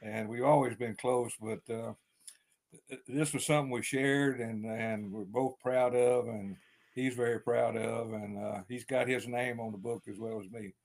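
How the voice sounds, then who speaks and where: An older man with a deep East Texas accent, an author chatting as a guest in an interview, rambling with many fillers and restarts. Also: fairly even intonation, moderate pace, creaky, a little mellow. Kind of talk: casual interview chat.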